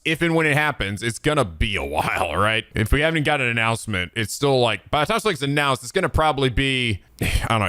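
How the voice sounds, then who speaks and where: Clear, dry-sounding man rambling casually. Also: mid-range voice, fairly bright tone, no echo. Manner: rambling, sweary, enthusiastic. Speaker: let's play host reacting to cutscenes. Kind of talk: casual reaction commentary.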